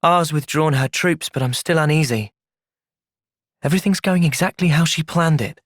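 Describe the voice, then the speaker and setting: An actor with an English accent playing an earnest, gentle young soldier in a video game cutscene, speaking in a measured, sincere way. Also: male voice, a little high, no echo, clean studio sound, lightly acted. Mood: calm, resolute.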